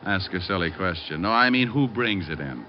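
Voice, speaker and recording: An actor playing a cocky, flippant private detective with dry wit in old radio drama style. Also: male voice, deep voice, lively intonation, fairly quick, slight background noise. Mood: flippant, needling. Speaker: lead actor and narrator. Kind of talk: 1940s-50s radio detective acting. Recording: dull vintage radio recording, faint music.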